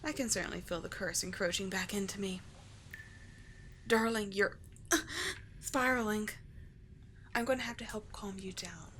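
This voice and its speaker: A woman voicing a doting, teasing sea witch mermaid in an ASMR roleplay, soft and breathy, often near a whisper, with soothing, formal storybook phrasing. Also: medium pitch, measured pace, long pauses, a little hiss or hum, close to an everyday voice. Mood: calming.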